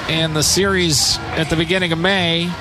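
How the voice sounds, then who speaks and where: A man calling live baseball play-by-play on the radio in measured, clipped phrases with pauses between them. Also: a little high, flat delivery, loud music behind the voice, a loud crowd, low-quality recording. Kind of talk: live radio sports commentary.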